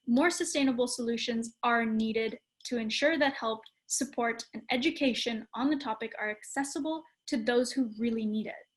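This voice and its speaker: A woman co-hosting an online panel, reading an introduction and then passing questions along in a bright, eager manner. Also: fairly high pitch. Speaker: co-host. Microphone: video call.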